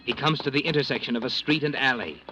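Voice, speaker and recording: An American man playing an ominous, mysterious all-knowing narrator in a 1940s radio mystery, deliberate and portentous. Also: mid-range voice, noisy background, close to an everyday voice. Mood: grave, dramatic. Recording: muffled old radio, loud music behind.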